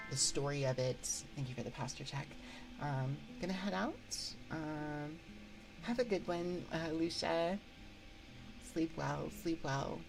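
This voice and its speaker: A woman livestream host chatting casually on an ASMR stream into a close binaural mic, thinking aloud in a halting, conversational way. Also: extremely low pitch, creaky, low-quality recording. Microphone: close binaural microphone. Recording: background music behind voice. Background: music.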